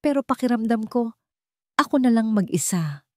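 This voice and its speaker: A woman's voice: fairly high pitch, expressive, moderate pace, no room sound, pitched above the performer's own voice, natural voice. Vulnerable young mother-to-be played by a female narrator, Filipino accent, fearful, story drama, dry recording. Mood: fearful, pleading. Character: frightened, vulnerable young pregnant woman.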